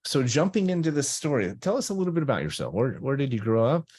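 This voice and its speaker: A man's voice: medium pitch, sing-song, fast, darkish tone, dry recording, studio quality. A man hosting a talk radio interview over a video call, enthusiastic and rambling, with many uhs and restarts. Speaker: host.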